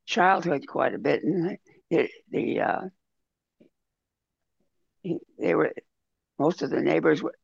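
Man, book club member, extremely creaky, fairly high voice, halting, dull audio. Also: dry recording. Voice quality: gravelly, husky. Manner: halting, repeats words. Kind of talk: casual group discussion.

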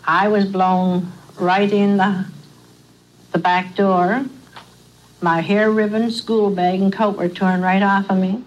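A woman's voice: medium pitch, little pitch movement, slow pace, highs cut off, slight background noise. An older woman recounting a personal memory as an eyewitness, in a plain, folksy way. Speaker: eyewitness survivor.

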